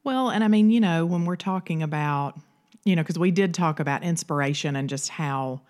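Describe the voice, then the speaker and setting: A woman with a low voice co-hosts a podcast. She speaks casually and conversationally. Her chatty delivery is peppered with filler phrases as she works through her thoughts. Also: normal speed, a little mellow. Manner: chatty, peppered with "you know". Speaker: podcast co-host.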